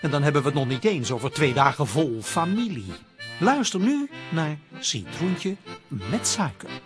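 A man's voice: fairly high pitch, sing-song, normal speed. A man delivering scripted narration as the introducer of a radio comedy play. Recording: music behind the voice.

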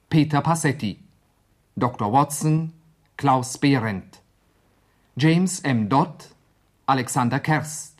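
Slow, formal radio announcer. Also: medium pitch. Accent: standard German. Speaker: radio announcer reading credits.

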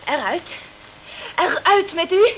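An actress playing a defensive, offended nightclub singer in a radio crime drama, haughty and evasive in manner. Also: female voice, very high-pitched, animated, takes it slowly, highs cut off, full character voice. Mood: indignant. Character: indignant, defensive female nightclub singer hiding the truth. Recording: noisy old radio play recording.

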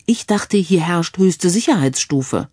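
A woman's voice: fairly low pitch, expressive, measured pace, mellow, breathy edge, no room sound. A curious male IT colleague voiced by a woman reader in a crime audiobook, speaking in a thoughtful, questioning way. The sound is dry and close. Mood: puzzled, curious. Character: curious, astonished man, an IT colleague asking probing questions. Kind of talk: audiobook character dialogue.

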